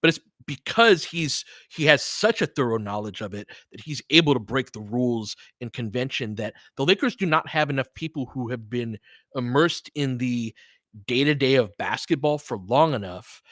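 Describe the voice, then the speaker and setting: Casting: American male sports stream host, medium pitch, unscripted, blunt and conversational, very dry room sound. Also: lively intonation, moderate pace, a bit bright, no room sound. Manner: candid and opinionated, swears freely. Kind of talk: casual, unscripted sports commentary chatting with listeners.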